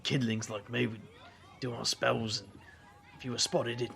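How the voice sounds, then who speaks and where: A man playing a gruff, sarcastic British magician in an audio drama, with a gravelly voice and slangy, grumbling delivery. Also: medium pitch, little pitch movement, moderate pace, fairly bright tone. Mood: wistful, clipped.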